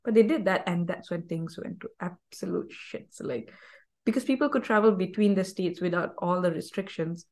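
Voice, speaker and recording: A woman's voice: fairly low pitch, moderate pace. Chatty woman. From Malaysia. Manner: chatty and animated, heavy use of "like". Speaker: guest. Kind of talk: casual conversational chat.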